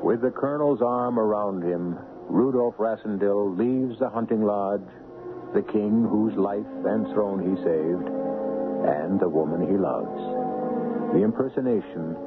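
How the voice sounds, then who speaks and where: A man hosting a 1970s radio drama, narrating in a measured, formal, theatrical manner. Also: lowish voice. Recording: muffled old radio broadcast with music.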